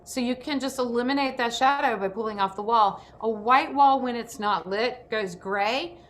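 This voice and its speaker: A woman's voice: fairly high pitch, moderate pace, fairly bright tone, a little hiss or hum. A woman hosting and instructing in an online session, giving practical advice in a direct, decisive way. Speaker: program host and instructor.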